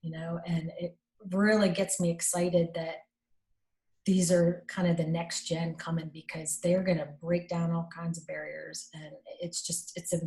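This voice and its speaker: Chatty, low-voiced woman executive. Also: unhurried. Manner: animated, acts out quoted dialogue, many fillers; self-described strong voice, not shy. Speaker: interview guest, company executive. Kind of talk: casual conversational storytelling.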